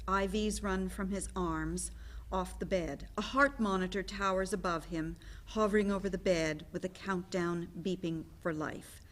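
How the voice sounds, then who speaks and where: Slow, measured woman reading stage directions. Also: medium pitch. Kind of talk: scripted narration.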